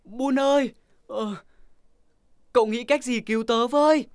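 A woman's voice: a little high, measured pace, a little mellow, no echo. A woman voicing a goofy, whiny lion in a children's cartoon, pleading urgently. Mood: pleading, impatient.

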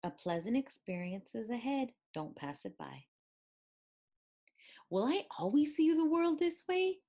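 A woman reading a picture book aloud in an expressive, deliberate way. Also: a little high. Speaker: storybook reader.

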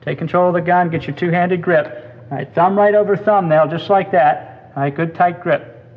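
A woman's voice: fairly low pitch, moderate pace. Reverberant woman instructor, muffled sound. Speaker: firearms instructor. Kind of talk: hands-on instruction. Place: indoor firing range. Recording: dull sound in reverberant hall.

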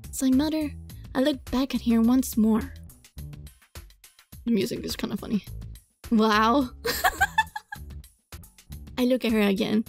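A woman's voice: a little high, takes it slowly, pauses often. A woman hosting a gaming video, reading a visual novel aloud expressively and adding casual, giggly reactions. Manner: expressive, dramatized read-aloud with giggly asides. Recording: music behind the voice. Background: game music.

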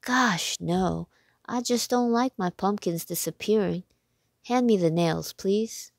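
A woman's voice: mid-range voice, slow pace, creaky, no echo, clean studio sound. A woman voicing a folksy, mild middle-aged farmer uncle in a children's storybook. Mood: modest.

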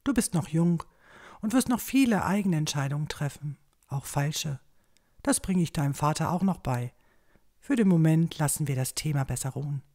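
A woman playing a gentle, reassuring village mother with a soothing, measured delivery in a fantasy audio drama. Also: deep voice, expressive.

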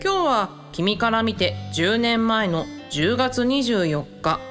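A woman playing an earnest, gentle young male student reading out his letter in measured radio drama monologue. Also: on the high side, big pitch swings. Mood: earnest, tender. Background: guitar music.

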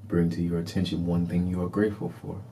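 Very low, mellow man guiding meditation. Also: slight background noise. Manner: calm, deliberate, soothing instruction. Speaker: meditation guide. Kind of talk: guided meditation, short repeated phrases.

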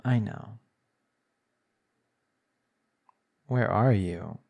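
A man's voice: fairly low pitch, creaky, a little mellow, breathy edge, full character voice. A man playing a smug, bratty villain who flirts with his captive in an intimate, condescending ASMR roleplay. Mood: smug, teasing. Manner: teasing, condescending, unhurried pet-name sweet talk.

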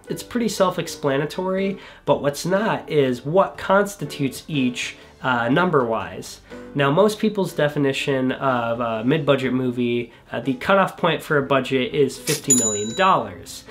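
A young man reviewing films casually in a small bedroom studio, sounding a little congested from a cold, relaxed and enthusiastic with frequent fillers. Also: medium pitch, normal speed, faint music behind. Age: young adult. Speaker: film reviewer.

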